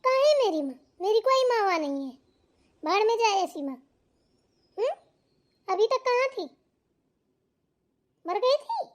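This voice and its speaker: A woman's voice: extremely high pitch, sing-song, unhurried, pauses often, heavily acted. A woman voicing a mouthy, defiant younger sister-in-law in a Hindi family drama cartoon, argumentative in manner. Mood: dismissive, irritated.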